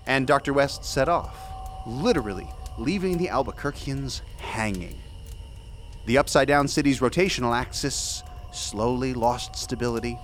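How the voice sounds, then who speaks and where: A man's voice: medium pitch, a bit bright, slight background noise, natural voice. An actor playing a digressive storyteller, narrating a tall tale conversationally in an audio drama and flustering over his own words. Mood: earnest, rambling. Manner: halting, digressive, flustered self-corrections. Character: rambling, self-correcting male storyteller of a tall tale.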